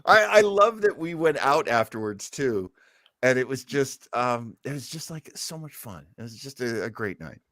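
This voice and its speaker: Male host, informal and effusive, animated pitch, very dry sound, chatting casually on a stream. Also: moderate pace, no room sound. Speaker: host of an old time radio listening show. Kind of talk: casual livestream chat.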